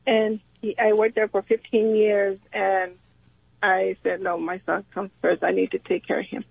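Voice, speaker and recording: A woman's voice: mid-range voice, flat delivery, normal speed, creaky, husky, no room sound. A middle-aged woman from Texas calling in to a radio show, worried and hesitant, with many fillers. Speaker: caller. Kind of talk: call-in conversation. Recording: tinny phone line.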